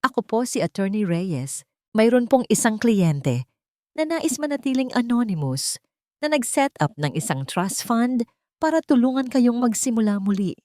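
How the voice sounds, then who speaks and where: A woman's voice: a little high, expressive, normal speed, warm tone, no room sound, clean studio sound, voice pushed up, lightly acted. A woman voicing a formal, polite male attorney on the phone in an audio story.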